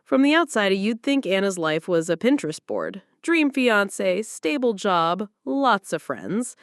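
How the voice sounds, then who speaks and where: Expressive woman narrating a confessional story. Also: medium pitch, a little slow, no room sound, studio quality. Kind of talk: dramatic first-person story reading.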